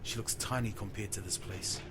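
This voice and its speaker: A man's voice: on the low side, moderate pace. A man narrating an audio story in the first person with a theatrical, dramatic manner. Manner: theatrical, present-tense storytelling. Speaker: storyteller, voice performer. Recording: strong background noise.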